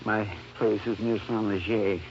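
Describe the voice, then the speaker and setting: An actor playing a sick, weary farmer in an old-time radio drama, earnest and fearful, with weak, labored delivery. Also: male voice, fairly low pitch, flat delivery, a little slow. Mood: weary, troubled. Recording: dull vintage radio recording, faint hum.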